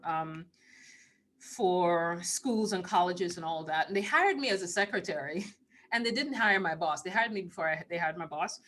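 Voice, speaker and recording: A woman's voice: fairly low pitch, a bit bright. A woman in her fifties from Trinidad and Tobago, chatting casually and playfully as she hosts an online live stream. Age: 50s. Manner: informal, playful, breaking into chuckles. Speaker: spiritual channeler hosting a live stream Q&A. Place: online live video call.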